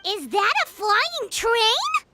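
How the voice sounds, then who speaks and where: A woman voicing a small, cheeky game companion with a high, squeaky voice. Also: huge pitch swings, over loud music, full character voice. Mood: curious. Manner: quick, cheeky, questioning. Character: small floating companion, chirpy and blunt. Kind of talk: game voice acting.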